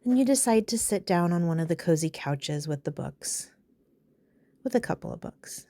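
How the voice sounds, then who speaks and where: A woman leading a guided meditation in a calm, soothing, unhurried way, pausing between phrases. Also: fairly low pitch.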